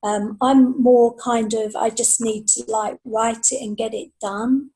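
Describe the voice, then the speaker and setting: High, slightly husky woman's voice. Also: fairly even intonation, unhurried, warm tone. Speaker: author, podcast panel guest. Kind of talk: casual unscripted chat. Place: remote group video call.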